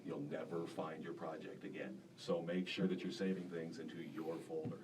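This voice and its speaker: A deep-voiced man teaching a class in a school radio studio, explaining casually with plenty of fillers. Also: little pitch movement, normal speed. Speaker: broadcasting instructor. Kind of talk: classroom demonstration. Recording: distant mic with faint room hiss.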